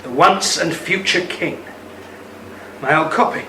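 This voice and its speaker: A man's voice: fairly high pitch, a little slow, echoey room, far from the mic, background noise. A man acting a teasing elderly husband in a radio play, with dry, bantering humor. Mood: wry. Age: older. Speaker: actor in audio play.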